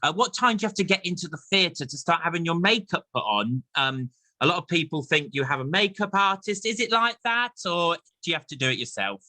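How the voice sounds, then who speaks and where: A man's voice: fairly high pitch, lively intonation, fairly quick, pushed and bright. An English man hosting a casual chat interview in a chummy, teasing manner. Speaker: host, interviewer.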